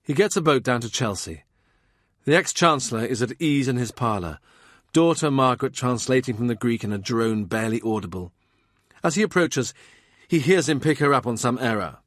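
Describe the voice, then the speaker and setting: A man reading a historical novel as an audiobook narrator, in a measured, understated literary style. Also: mid-range voice, expressive, dry recording, clean studio sound.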